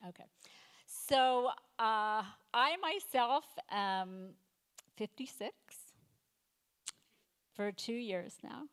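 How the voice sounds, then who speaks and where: A woman in her fifties doing a stand-up comedy set, delivering deadpan one-liners with halting fillers and self-corrections. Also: fairly high pitch, clear, resonant tone. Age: 50s. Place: comedy night stage before a live audience.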